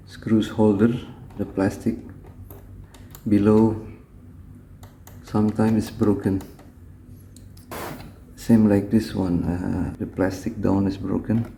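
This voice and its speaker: Slow, very mellow Indonesian-accented man. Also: on the low side, gravelly, a little hiss or hum. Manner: halting, short phrases in simple non-native English. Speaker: repair technician giving a tutorial. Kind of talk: hands-on tutorial narration.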